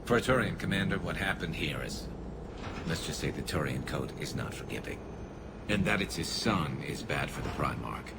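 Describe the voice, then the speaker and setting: A man playing a blunt, wry, hardened alien squadmate in a sci-fi game, with a gravelly voice. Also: extremely low pitch, animated, normal speed, heavily acted. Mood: grim, wry. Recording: heavy engine and ambient noise behind.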